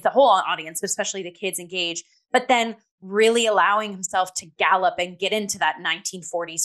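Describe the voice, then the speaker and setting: A young adult woman with a mid-pitched voice speaks as a podcast host in a casual review chat. She is effusive and emphatic, talking in a loose, conversational flow full of filler "like"s. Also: fairly bright tone.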